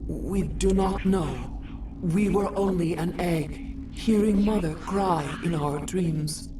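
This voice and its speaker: Game creature: ancient hive queen, solemn and mournful, very slow halting delivery, distant with noise behind. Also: sits low. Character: ancient alien insect queen, mournful and stately, speaking collectively. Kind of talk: video game character acting.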